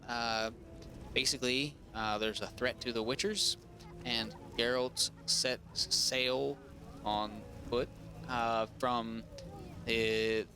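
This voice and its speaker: A man with a raspy voice casually commenting on a video game playthrough, rambling with lots of ums and restarts. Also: medium pitch, pushed and bright. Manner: rambling, full of ums and restarts, reads quest log aloud. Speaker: gaming streamer. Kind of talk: casual let's-play commentary.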